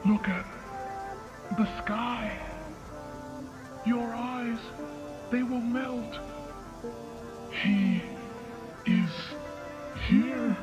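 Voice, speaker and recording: A woman voicing an otherworldly angel in an eerie tutorial, giving dire warnings in a halting, commanding way. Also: on the high side, highs cut off. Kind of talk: slow eerie instructional narration. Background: music, clearly heard.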